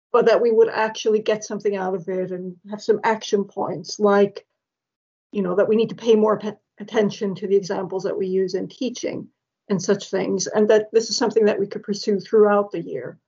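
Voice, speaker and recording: A woman organizer giving earnest, steady closing remarks at an online event. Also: medium pitch, husky. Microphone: video call. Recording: muffled video-call audio.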